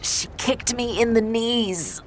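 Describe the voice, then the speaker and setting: A woman's voice: fairly high pitch, slow pace, slight background noise. A woman playing a grumpy, blunt, feisty young adventurer in an audio drama.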